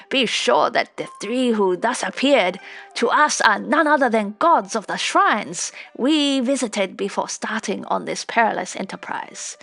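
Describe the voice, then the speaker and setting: Warrior chief for storybook, woman reading: confident, steady, devout, reassuring, some gravel in the voice. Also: medium pitch, exaggerated voice. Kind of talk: storybook character voice.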